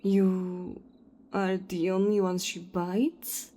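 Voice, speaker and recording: A woman playing a cold, ruthless vampire hunter in an audio roleplay, speaking with deliberate, taunting contempt. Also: on the low side, level tone, warm tone. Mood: dismissive, ruthless.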